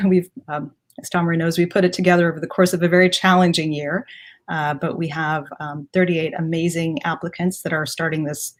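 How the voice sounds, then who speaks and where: A woman from Ethiopia, a guest on a live online panel, speaking in a thoughtful way with many fillers. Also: sits low. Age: adult.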